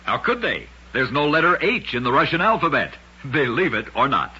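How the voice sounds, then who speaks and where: A man's voice: medium pitch, big pitch swings, fairly quick, sharp tone, husky. A man narrating scripted oddities as host of an old-time radio program, with a dramatic, emphatic storytelling delivery. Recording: muffled old radio recording.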